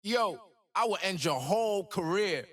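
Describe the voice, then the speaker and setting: A man's voice: high voice, lively intonation, a little slow, fairly bright tone, full character voice. An actor voicing a cocky, ambitious young male hip-hop star in a video game, with swaggering, slangy, rhythmic delivery. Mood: boastful. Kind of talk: game voice lines.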